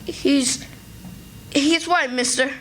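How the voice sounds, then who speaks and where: A woman's voice: sits high, extremely slow, a bit bright, slight background noise, heavily acted. A woman playing a scrappy, plain-spoken country boy in a radio play. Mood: nervous, evasive. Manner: folksy, colloquial. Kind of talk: old-time radio play acting. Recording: live stage performance with music behind.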